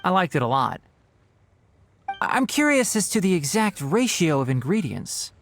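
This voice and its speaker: An actor playing a sharp-tongued young botanist in a video game, speaking in a precise, articulate, dry manner. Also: male voice, high voice, very animated, normal speed, a little hiss or hum, heavily acted. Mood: thoughtful, analytical. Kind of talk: English video game voice acting.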